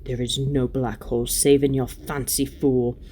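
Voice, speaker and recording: A woman's voice: very deep voice, little pitch movement, a little slow, warm tone, slight background noise, voice pushed down. A woman voicing a proud, stern young king in a storybook reading, speaking in a formal, archaic, commanding manner. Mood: stern, wrathful.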